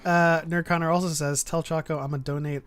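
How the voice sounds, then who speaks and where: A man's voice: fairly high pitch, flat delivery, fairly quick, a bit bright. A man hosting a casual livestream chat, reading out and answering viewer comments. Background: music.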